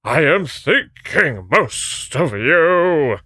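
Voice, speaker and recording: A man's voice: a little high, sing-song, drawn-out words, sharp tone, no room sound, exaggerated voice. A man voicing a drunken knight who croons slurred songs. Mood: drunken singing. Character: drunk old knight singing maudlin songs.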